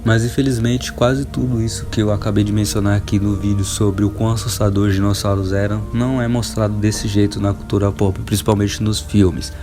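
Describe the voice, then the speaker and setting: Low-voiced Brazilian man, music behind. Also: level tone, a little fast, few pauses. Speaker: video host. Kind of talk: casual enthusiast video commentary.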